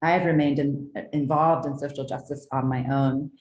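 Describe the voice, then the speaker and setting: A woman from the southeastern United States presents her own art in a prepared talk, with measured, articulate, formal delivery. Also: extremely low pitch, steady tone, darkish tone. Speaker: artist presenting own work. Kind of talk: prepared artist talk, read from notes. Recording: roomy, echoing sound.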